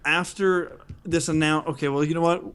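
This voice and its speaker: Goofy higher-pitched man hosting a podcast. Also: steady tone, normal speed, fairly bright tone, clear, resonant tone. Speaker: podcast co-host. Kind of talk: casual, jokey chat.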